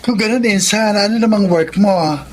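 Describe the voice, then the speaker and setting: An actress with a Filipino accent playing a supportive, upbeat young cousin in a radio drama. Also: female voice, mid-range voice, moderate pace, a little hiss or hum, natural voice. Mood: curious, supportive.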